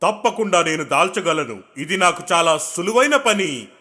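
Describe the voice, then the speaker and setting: Boastful ogre played by a man, somewhat high-voiced. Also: expressive, moderate pace, sharp tone. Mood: proud, boastful. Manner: boastful, haughty. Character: boastful, proud shape-shifting male ogre. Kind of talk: children's fairy tale character acting.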